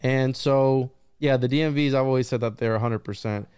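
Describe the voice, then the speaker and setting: Joking male podcast host, smooth voice. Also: mid-range voice, a little fast, darkish tone, no echo, clean studio sound. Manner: joking, conspiratorial banter with swearing. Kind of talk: casual podcast conversation.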